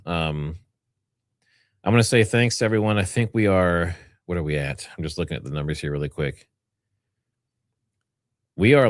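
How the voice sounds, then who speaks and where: A man's voice: low-pitched, dry recording, studio quality. A man hosting a livestream, giving informal, rambling commentary and partly reading from notes. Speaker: livestream host.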